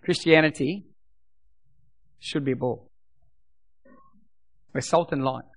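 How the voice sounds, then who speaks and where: A middle-aged man preaching as a pastor, his voice a bit croaky. He talks emphatically, repeating his points and dropping to a soft voice for prayer. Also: medium pitch, slow. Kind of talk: sermon.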